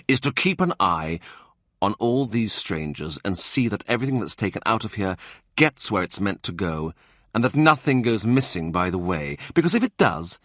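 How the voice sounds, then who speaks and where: A man's voice: on the low side, a bit bright, no echo, clean studio sound. A man doing a blunt, shrewd adviser. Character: shrewd, blunt male adviser, low-born.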